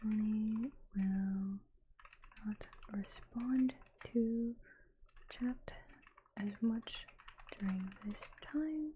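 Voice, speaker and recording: A woman chatting on a live ASMR stream, moving between breathy whispers and soft speech close to the microphone. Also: on the high side, drawn-out words, long pauses, a little hiss or hum, poor audio. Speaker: streamer, ASMR roleplayer and voice actor.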